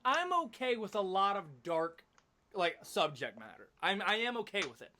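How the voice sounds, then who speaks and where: High bright guy voice doing casual commentary, super slangy and emphatic. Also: sing-song, moderate pace, a little hiss or hum. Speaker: video commentator. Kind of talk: casual opinionated video commentary.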